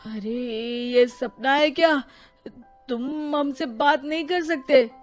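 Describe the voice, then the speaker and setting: A woman voicing an excitable grown man, an older brother and householder, in a cartoon story. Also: sits high, slow, soft background music. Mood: astonished.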